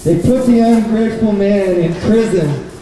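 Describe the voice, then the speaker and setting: A man telling a children's story live to an audience, in simple, deliberate phrases. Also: sits high, warm tone. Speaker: puppet show storyteller and presenter. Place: indoor bookstore event. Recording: very echoey, noisy hall, distant microphone.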